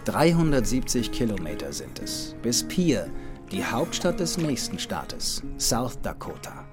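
A male narrator reads a scripted travel documentary at a middle pitch. Music plays clearly behind his voice, and he speaks as the traveler telling his own journey. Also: sing-song, a little slow. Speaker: narrator and traveling paddler reporter.

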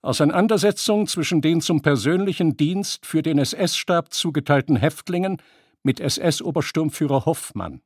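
A man's voice: on the high side, expressive, moderate pace, husky, dry recording, studio quality. A man reading out dates, names and headings as a scripted announcer.

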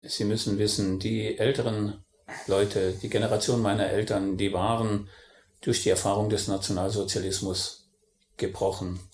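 Low-voiced man recalling, echoey room. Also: flat delivery, normal speed, a little mellow. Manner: thoughtful, with small restarts. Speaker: interviewee, civil rights activist. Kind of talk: interview answers.

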